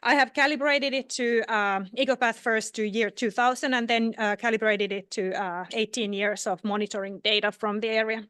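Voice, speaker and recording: A woman's voice: fairly high pitch, steady tone, normal speed, hardly any pauses, fairly bright tone, clean tone, no room sound, studio quality. A woman from Finland giving a scientific conference talk, hesitating often with fillers and restarts. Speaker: conference speaker. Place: conference hall. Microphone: no microphone.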